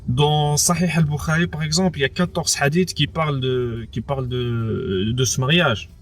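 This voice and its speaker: Hesitant French-Moroccan man, slightly high voice. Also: moderate pace, a little hiss or hum. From France, of Moroccan background. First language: Arabic speaker as well. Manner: hesitant, repeats words, many fillers like "entre guillemets" and "quoi". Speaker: interviewee. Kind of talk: personal testimony, casual spoken.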